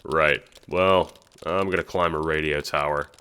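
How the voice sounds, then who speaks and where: Man playing deadpan, deep-voiced teenage boy. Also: a little slow, very noisy. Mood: deadpan disbelief. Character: sarcastic, foul-mouthed, awkwardly casual teenage boy gamer.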